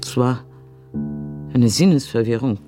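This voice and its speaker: An actress playing an elderly, dignified and fond mother in a German radio play, with halting pauses and old-fashioned diction. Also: a bass voice, music in the background. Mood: confused, halting.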